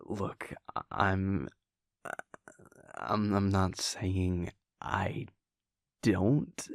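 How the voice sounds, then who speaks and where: A man playing an affectionate, bashful vampire prince boyfriend in an intimate roleplay audio, breathy and husky, with a halting, softly teasing manner. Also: deep voice, dry recording, clean studio sound, full character voice. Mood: sheepish, flustered.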